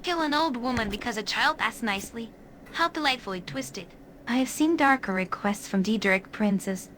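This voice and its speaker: Woman as sly seductive ancient dark creature, noisy ambience. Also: fairly high pitch, animated, normal speed. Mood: sly, sinister. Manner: teasing, sly, elegantly theatrical. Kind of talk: in-character game companion dialogue.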